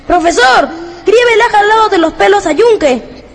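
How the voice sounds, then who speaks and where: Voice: very high voice, expressive, moderate pace, creaky, full character voice. An actor playing a small schoolboy in a radio drama, an eager, indignant and insistent tattletale. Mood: tattling. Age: child figure. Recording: reverberant hall, crowd noise behind.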